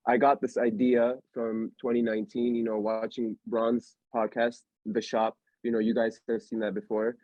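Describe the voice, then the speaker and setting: A man's voice: mid-range voice, completely flat delivery, normal speed, hardly any pauses, darkish tone, no echo. Slangy young man, dull dry sound. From Allentown, Pennsylvania. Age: young adult. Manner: rambling, slangy, many fillers. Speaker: podcast host. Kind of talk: casual chat.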